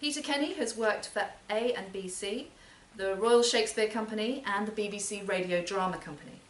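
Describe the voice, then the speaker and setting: A woman's voice: medium pitch, a little fast. Distant, echoey woman introducing a literary reading. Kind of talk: scripted spoken introduction at a literary event. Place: performance space in a library, live event. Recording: roomy, distant live recording with noise.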